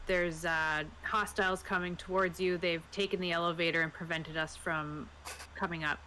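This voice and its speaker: Bright, forceful woman playing a tabletop game. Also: fairly low pitch, level tone, normal speed, a little hiss or hum, low-quality recording. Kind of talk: casual in-character roleplay chat.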